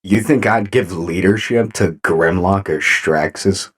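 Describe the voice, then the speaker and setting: Guy as calm robot boss, deep voice, takes his time, courteous, audio drama. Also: a little slow. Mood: earnest, friendly.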